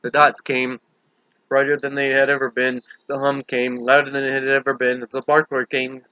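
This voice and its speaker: A man's voice: medium pitch, monotone, normal speed, sharp tone, clear, resonant tone, dry recording, highs cut off. A man reading a novel aloud as dramatic, emphatic audiobook narration, in a theatrical way. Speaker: book reader.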